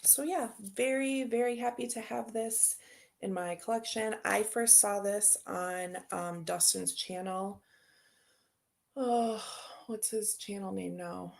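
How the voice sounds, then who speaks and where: A woman's voice: mid-range voice, fairly even intonation, takes it slowly, gravelly, a little mellow. A woman hosting a tarot channel, chatting casually and rambling as she unboxes decks and answers viewer comments.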